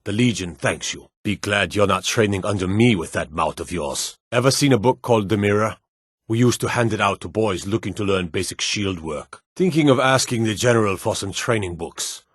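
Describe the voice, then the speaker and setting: An actor playing a stern, dutiful fantasy lord and soldier in a video game, speaking in a formal, measured, authoritative way. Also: male voice, lowish voice, slightly rough, no room sound, studio quality. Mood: gruff, matter-of-fact. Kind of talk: video game character dialogue.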